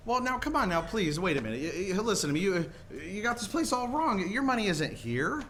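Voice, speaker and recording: Guy acting earnest flustered young loan manager, 40s radio play, high voice, swoopy and stammery. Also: sing-song, a little fast, fairly bright tone. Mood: pleading. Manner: stammering, pleading. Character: earnest, flustered young man running a small-town savings and loan. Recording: live stage recording with background noise.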